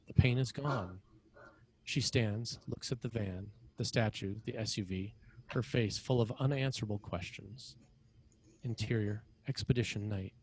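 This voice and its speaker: A man with a fairly low voice narrates a screenplay. He reads out scene headings and action as scripted narration for a table read. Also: darkish tone, poor audio.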